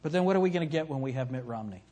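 A man preaches from the pulpit in a husky, slightly breathy voice of ordinary pitch. He drives his points hard, climbing to loud emphatic peaks and then dropping to low, quiet lines. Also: animated, racing. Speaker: pastor. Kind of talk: sermon.

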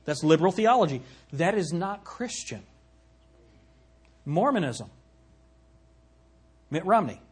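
A man preaching a sermon from the pulpit, emphatic, swinging from loud peaks to low, quiet lines. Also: very animated, takes it slowly, pauses often, slightly rough. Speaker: pastor.

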